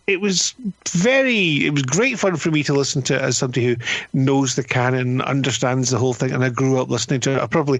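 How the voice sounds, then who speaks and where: A man's voice: mid-range voice, fairly bright tone, no echo. A man in his fifties giving an enthusiastic, emphatic and conversational review as a radio critic. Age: 50s.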